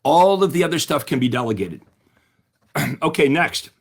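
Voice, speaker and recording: A man's voice: fairly high pitch, animated, normal speed, gravelly. A middle-aged man coaching real estate agents in an upbeat way, often asking the audience questions, while his voice is giving out. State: losing his voice. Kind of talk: webinar-style coaching talk.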